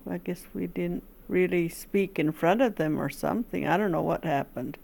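An older woman from California, a language teacher, recalling her past in a slow, plain-spoken way. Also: lowish voice, gravelly, a little mellow. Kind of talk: casual recollection.

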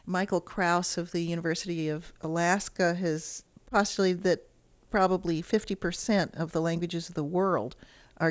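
Woman prof, lowish voice, dry close sound, explaining clearly. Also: dry recording. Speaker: linguistics professor, interviewee. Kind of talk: explanatory interview.

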